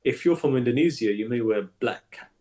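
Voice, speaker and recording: A man, an imam, speaking as a guest in a conversational interview, emphatic and animated, often repeating his words as he builds a point. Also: mid-range voice, a little fast.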